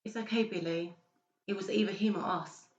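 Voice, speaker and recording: Casting: earnest young woman played by a man, higher put-on pitch, urgent and pleading, audiobook drama, muffled echoey sound. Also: fairly even intonation, moderate pace, close to an everyday voice. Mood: reassuring, urgent. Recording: muffled, echoey home recording.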